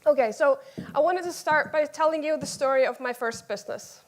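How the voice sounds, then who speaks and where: The high-pitched Icelandic woman you would hear on stage at a business conference, telling her own story informally and a little haltingly. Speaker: conference speaker.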